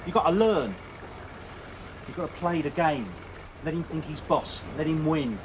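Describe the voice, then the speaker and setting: A man playing a world-weary, hard-nosed detective inspector, gruff and sardonic, in a TV police drama. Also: on the high side, expressive, moderate pace, close to an everyday voice. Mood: scolding. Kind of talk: naturalistic TV police drama acting. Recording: slightly distant, noisy TV drama sound.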